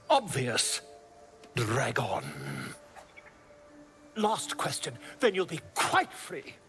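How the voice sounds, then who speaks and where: A man's voice: high-pitched, bright, forceful tone, heavily acted. An actor playing a nosy, theatrical male chronicler in a fantasy game, with pompous, florid, eager delivery.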